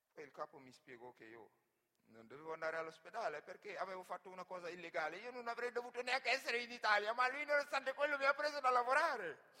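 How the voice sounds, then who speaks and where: An actor playing an earnest, wounded migrant worker in an emotive theatrical monologue. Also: male voice, fairly high pitch, bright, forceful tone, full character voice. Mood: subdued, grave, then agitated. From Senegal. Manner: emotive, storytelling delivery with repeated phrases. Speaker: stage actor and storyteller. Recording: reverberant hall.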